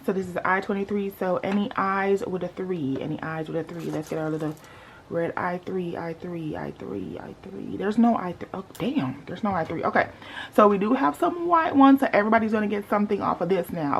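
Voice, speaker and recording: A woman's voice: lowish voice, creaky. Young woman vlogger, sleepy morning voice, playful and chatty, faint noise in the background. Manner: playful, animated, talks to viewers as y'all. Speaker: budgeting vlogger. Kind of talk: casual chatty vlog.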